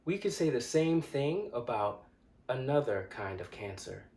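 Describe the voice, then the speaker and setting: An actor playing an earnest, indignant young man who confronts strangers in a dramatic monologue, speaking deliberately with hesitant pauses and insistence. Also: mid-range voice, sing-song, a little mellow. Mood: indignant, forceful. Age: young adult. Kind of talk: dramatic monologue, conversational direct address. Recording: echoey room.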